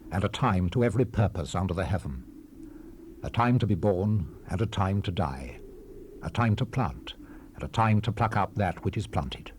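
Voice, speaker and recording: An actor playing a weary, brooding ancient preacher in a dramatized Bible reading, solemn, measured and declamatory, recorded on a hissy old cassette. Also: male voice, low voice, a little mellow. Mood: solemn, rhythmic. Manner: solemn, measured, declamatory, with falling phrase endings. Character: weary, brooding old-world preacher reflecting on life's vanity. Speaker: scripture narrator. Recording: hissy 1970s cassette rip.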